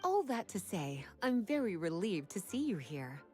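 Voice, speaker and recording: A woman's voice: medium pitch, very animated, moderate pace, darkish tone, soft background music, natural voice. A woman playing a sophisticated, teasing young librarian in a video game, with coy and elegant phrasing. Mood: poised, playful.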